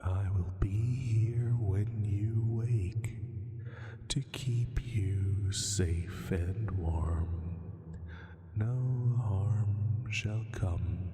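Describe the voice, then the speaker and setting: A man voicing a huge ancient dragon, calm, protective and gently possessive, speaking in hushed, lordly, soothing tones for a sleep-aid audio roleplay. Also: on the low side, soft background music. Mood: tender, hushed. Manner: unhurried, hushed, soothing, lordly formal phrasing. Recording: long cavernous reverb.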